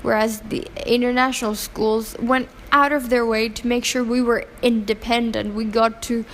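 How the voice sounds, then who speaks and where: A woman's voice: fairly high pitch, little pitch movement, normal speed, a little hiss or hum. A woman from Pakistan who grew up in Hong Kong, giving earnest, opinionated interview answers with fillers and restarts. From Pakistan, raised in Hong Kong.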